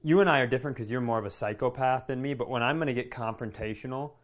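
Man telling a sweary, animated story. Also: medium pitch, fast talker. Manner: animated, profane, acts out quoted dialogue. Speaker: comedy podcast host. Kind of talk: casual comedic storytelling.

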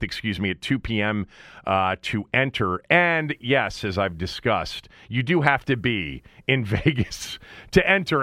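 A man's voice: on the low side, fairly bright tone, dry recording, clean studio sound. A man hosting a sports radio show in a casual, conversational way, often breaking off into asides.

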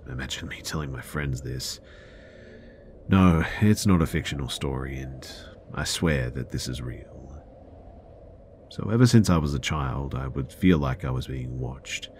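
Male horror narrator, extremely low register with heavy vocal fry, conversational first-person delivery over faint ambience. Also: darkish tone, slight background noise. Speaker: narrator of listener-submitted scary stories.

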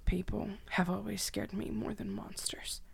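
A bitter, guarded young woman held captive acted by a female reader in a dark audio drama. Her medium-pitched voice is full of creaky fry and often drops to a breathy hush. She sounds defensive and defiant toward her captor. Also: little pitch movement, normal speed, whispered, exaggerated voice. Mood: quiet fear. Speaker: voice actor in a dramatic reading. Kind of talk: dramatic reading of a dark podcast story.

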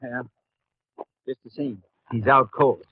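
An actor playing a plain-spoken, wary sheriff with a firm, folksy manner in an old-time radio western. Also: male voice, medium pitch, fairly even intonation, slow pace, natural voice. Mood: relieved, matter-of-fact. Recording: muffled, faintly noisy old radio recording.

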